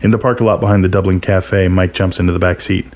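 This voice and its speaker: A man's voice: low voice, flat delivery, fast talker, a little mellow. A man reading a first-person short story aloud as a scripted narration.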